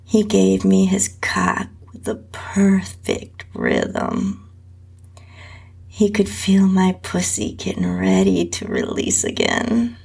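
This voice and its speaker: Female narrator for adult audio fiction: very slow, drawn-out delivery, heavy vocal fry, breathy tone. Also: mid-range voice, wildly swooping pitch, darkish tone. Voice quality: husky, breathy. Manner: slow, drawn-out reading with whispery dips. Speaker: host and story narrator. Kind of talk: scripted first-person erotic story narration.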